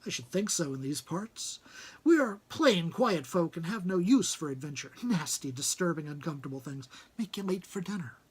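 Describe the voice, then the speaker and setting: Man playing a fussy, comfortable hobbit gentleman. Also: fairly high pitch, lively intonation, normal speed, breathy edge. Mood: huffy, polite. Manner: polite, chatty, dismissive of adventure.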